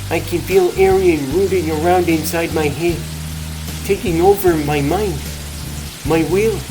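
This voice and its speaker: A man playing an earnest, anguished young male superhero in a comic dub, with introspective, halting dramatic delivery. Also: a little high, natural voice, low-quality recording. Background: loud music.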